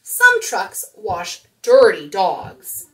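A woman speaks to young children as a storytime host. Her mid-pitched voice swings expressively as she explains and reads aloud, addressing her listeners directly. She is recorded a little distance from the camera microphone in a small room. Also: wildly swooping pitch, drawn-out words. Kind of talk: children's storytime talk and picture-book read-aloud.

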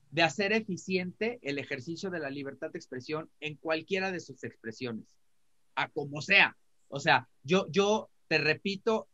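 A middle-aged Mexican man speaks in a high, sharp voice. He comments emphatically as a panelist, stressing points by repetition. The sound is slightly muffled, as on a video call. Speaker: talk show panelist. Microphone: computer mic on video call. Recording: dull video call audio.

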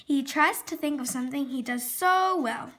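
A very high, bright female voice reads a children's storybook aloud. Her delivery is expressive, with words sometimes stumbled over and then corrected. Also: moderate pace. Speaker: storybook reader.

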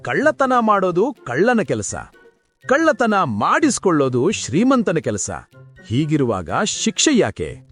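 A man's voice: sits high, huge pitch swings, measured pace, fairly bright tone, faint music behind, heavily acted. A man playing a foolish, hot-tempered king with pompous, commanding delivery in a cartoon folk tale. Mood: angry, thundering. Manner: pompous, theatrical declaiming.

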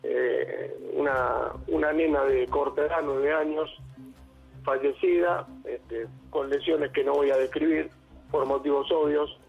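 A man with an Argentine accent, an emergency service director speaking over the phone in a halting way, with many fillers and restarts. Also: fairly high pitch, fairly even intonation, vocal fry, breathy edge, faint music behind. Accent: Argentine Spanish. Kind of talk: phone interview. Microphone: telephone. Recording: tinny phone line.

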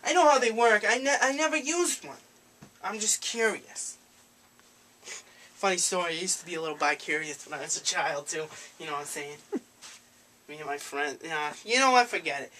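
Young male prank caller: bright, forward voice, rambling and overly familiar, noisy amateur camera sound. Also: high-pitched, normal speed. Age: young adult. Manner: rambling, hesitant, overfamiliar. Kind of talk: prank phone call. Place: small cluttered room indoors. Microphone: handheld camera a few feet away.

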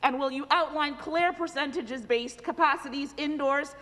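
A woman's voice: high-pitched, normal speed, bright, forceful tone, in a large hall. A woman asking an urgent, pleading question as an opposition member in a large legislative chamber, on a desk microphone. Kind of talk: parliamentary question.

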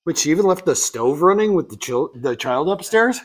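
A man's voice: fairly high pitch, animated, brisk. A man in his forties giving casual, offhand commentary as a live streamer, musing as he goes. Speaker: comic artist live streamer. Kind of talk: casual commentary while drawing. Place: small home studio indoors.

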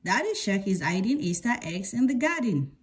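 A woman's voice: medium pitch, sing-song, moderate pace, breathy edge. A woman reading a children's picture book aloud, breaking into sing-song refrains. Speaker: read-aloud story presenter.

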